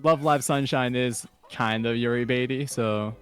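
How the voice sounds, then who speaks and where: A man's voice: mid-range voice, fairly even intonation, a little slow, fairly bright tone, smooth. A man co-hosting a story reading show, moving between casual chat and narration. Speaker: story reader and co-host. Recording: music playing underneath. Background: music.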